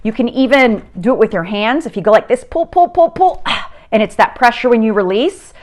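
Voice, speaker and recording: A woman's voice: on the high side, lively intonation, fairly quick. A middle-aged woman from South America, a TV meteorologist giving a casual science lesson from home, chatty and upbeat with frequent filler "uh"s and restarts. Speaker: TV meteorologist teaching a kids' lesson.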